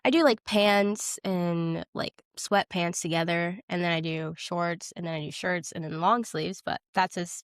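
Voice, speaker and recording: A woman's voice: lowish voice, unhurried, hardly any pauses, dry recording, clean studio sound. A young woman co-hosting a podcast, talking in an informal, conversational style. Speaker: podcast co-host. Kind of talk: casual podcast chat.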